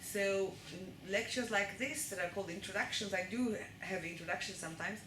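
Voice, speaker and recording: A woman's voice: medium pitch, normal speed. A woman with an Israeli accent giving a live lecture to an audience and often checking that they follow along. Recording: echoey room with noise.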